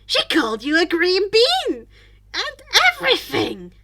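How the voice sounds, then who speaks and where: A woman voicing an exuberant male hero schoolteacher in a fan fiction audiobook, booming and theatrical. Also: wildly swooping pitch, a little slow, bright, forceful tone, exaggerated voice. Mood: loud, cheerful.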